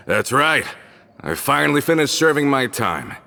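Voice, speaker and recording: A man's voice: a little high, sing-song, slow pace, vocal fry, sharp tone, full character voice. An actor playing a boisterous, hearty man just out of prison, in a game scene. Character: jovial burly man back from prison. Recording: game audio with faint music.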